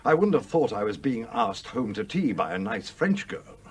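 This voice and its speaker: An actor playing the irascible, roguish ghost of a sea captain in a radio play, blustering and commanding. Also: male voice, mid-range voice, big pitch swings, moderate pace, breathy edge. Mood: indignant. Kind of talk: British radio play acting.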